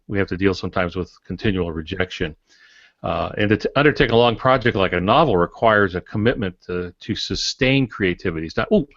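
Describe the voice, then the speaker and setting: Male writer on a podcast, low register, reflective and halting conversational delivery, muffled audio. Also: a bit bright. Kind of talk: conversational explanation with anecdotes. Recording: dull, echoey room recording.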